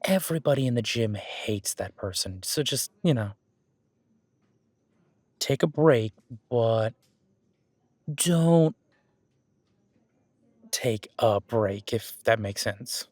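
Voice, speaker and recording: A man's voice: mid-range voice, lively intonation, slow pace, long pauses, darkish tone, no room sound. A man playing a friendly, easygoing werewolf boyfriend who coaches his date at the gym in a casual, encouraging, rambling roleplay audio. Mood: instructive, relaxed. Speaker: gym partner giving workout guidance. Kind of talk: roleplay audio drama, one-sided casual conversation.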